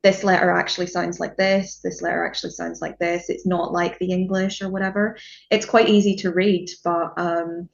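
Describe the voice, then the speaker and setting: A woman's voice: on the low side, little pitch movement, normal speed, creaky, lo-fi sound. A young woman from Northern Ireland with a Northern Irish accent, a guest on a podcast, talking in a chatty way with lots of fillers and restarts. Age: young adult. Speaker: podcast guest, student and music journalist. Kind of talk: casual podcast chat.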